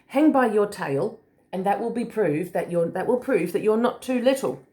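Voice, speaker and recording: A woman's voice: mid-range voice, expressive, moderate pace, warm tone, pitched above the performer's own voice, natural voice. A woman voicing a bossy, doubting, dismissive possum in a children's storybook. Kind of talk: children's storybook read aloud.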